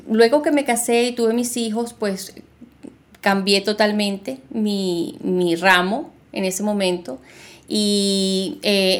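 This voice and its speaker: Woman co-host, mid pitch, relaxed and halting. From Argentina, raised in Venezuela. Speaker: podcast co-host, realtor. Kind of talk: casual podcast conversation.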